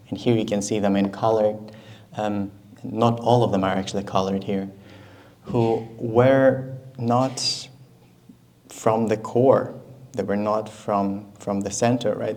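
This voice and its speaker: Low-voiced man giving a lecture. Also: a little mellow. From Ukraine. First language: Russian. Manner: conversational, asks the audience questions, frequent "right?". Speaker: guest lecturer. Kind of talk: university talk with slides.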